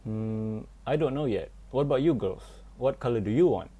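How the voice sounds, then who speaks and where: Dark, husky dad played by a man. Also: medium pitch, lively intonation, normal speed. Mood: undecided, gentle. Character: easygoing Malaysian father chatting with his daughters.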